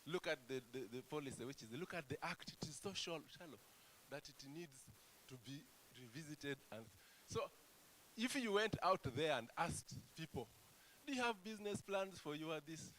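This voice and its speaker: A man speaking from the floor at a meeting, forceful and rhetorical, repeating himself for emphasis. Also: a little high, animated, moderate pace, a little hiss or hum, poor audio. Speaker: conference delegate. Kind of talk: impassioned floor remarks at a regional meeting.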